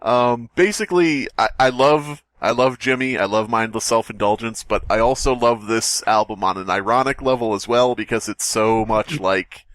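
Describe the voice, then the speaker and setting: A man's voice: mid-range voice, moderate pace, few pauses, fairly bright tone, dry recording. A man co-hosting a podcast, chatting casually in a rambling, crude way and laughing often. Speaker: podcast co-host. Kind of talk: casual banter.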